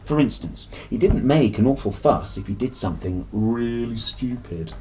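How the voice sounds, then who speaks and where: A man's voice: mid-range voice, animated, moderate pace, warm tone, slight background noise, rough recording. A man reading a children's story aloud expressively, with dramatic emphasis and playful delivery. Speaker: storybook reader. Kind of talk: animated children's story narration.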